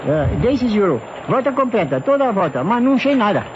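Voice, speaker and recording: High-voiced, eager detective's assistant, deferential and halting, old radio drama, muffled recording with some hiss. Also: male voice, very animated. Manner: halting, repetitive, tags sentences with questions. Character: deferential, puzzled police assistant with foreign-tinged speech. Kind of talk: old radio mystery acting.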